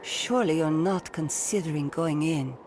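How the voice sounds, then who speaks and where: An actress playing a loyal, practical adventuring companion in a fantasy video game. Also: female voice, low-pitched, moderate pace, darkish tone. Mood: wary. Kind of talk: video game character acting. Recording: clear background ambience noise.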